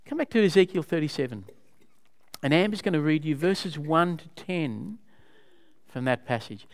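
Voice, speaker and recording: A man's voice: on the high side, expressive, moderate pace. A man leading a Bible study, explaining scripture to a group in a conversational way and asking them questions. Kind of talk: interactive Bible teaching.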